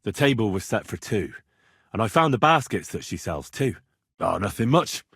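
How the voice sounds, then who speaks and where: A man voicing an earnest, bold young man in a medieval video game, with a slightly husky voice and a plain-spoken, conversational delivery. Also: lowish voice, sing-song, normal speed, a bit bright, no echo, studio quality, heavily acted. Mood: musing. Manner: conversational, earnest, assertive when challenged. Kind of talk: game dialogue voice lines.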